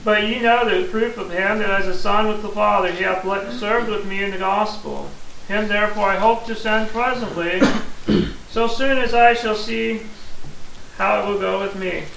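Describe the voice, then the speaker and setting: A man's voice: high-pitched, steady tone, normal speed, gravelly, fairly bright tone. A man, preaching a sermon in a plain-spoken, earnest way and explaining scripture step by step. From Missouri, USA. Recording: echoey room from a distance, faint hiss.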